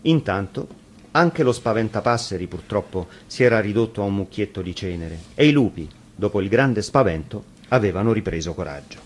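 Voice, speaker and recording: A man's voice: on the low side, animated, fairly quick. A man narrating a scripted fairy tale dramatically, as a storyteller. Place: radio studio. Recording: faint background noise.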